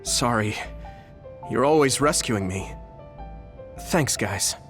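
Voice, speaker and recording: An actor playing an earnest, polite young hero in a video game. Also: male voice, medium pitch, expressive, slow pace, fairly bright tone, soft background music. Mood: earnest, grateful. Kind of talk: English video game cutscene dub.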